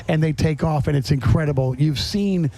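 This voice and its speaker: A man with a fairly high-pitched voice hosting a casual interview. He is chatty and rambles, doubling back on his words as he works toward a question. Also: normal speed, dark tone, no room sound. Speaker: podcast host.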